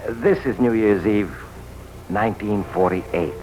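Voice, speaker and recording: An actor playing a calm, tactful American male physician in a 1940s radio drama, explaining patiently with small hesitations. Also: fairly low pitch, very noisy, natural voice. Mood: tactful, careful. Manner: measured, hesitant with soft "uh"s. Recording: old 1940s radio recording with music.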